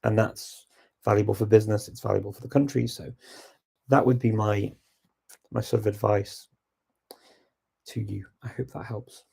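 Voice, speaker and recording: Male host, husky and mellow, relaxed chat-style advice to viewers. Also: fairly low pitch, normal speed, long pauses. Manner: relaxed, reflective advice-giving. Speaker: host answering viewer questions. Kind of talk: casual live Q&A chat.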